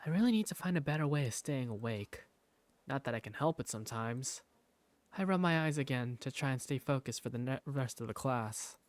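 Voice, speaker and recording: A man's voice: medium pitch, expressive, fairly quick, a little hiss or hum, lightly acted. A man playing a sleepy, easily embarrassed teenage schoolboy who narrates a visual novel in the first person. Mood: embarrassed, weary.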